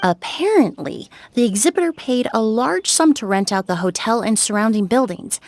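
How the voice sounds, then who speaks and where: An actress playing a young perfumer in a video game, polite, composed and measured. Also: female voice, on the high side, animated, no echo. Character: young female perfumer, poised and earnest.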